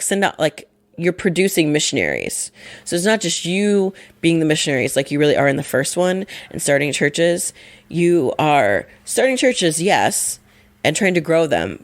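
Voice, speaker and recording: Sick woman with a gravelly voice. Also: on the low side, no room sound. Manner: chatty, self-correcting, laughs often. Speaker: podcast co-host. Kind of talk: casual podcast chat.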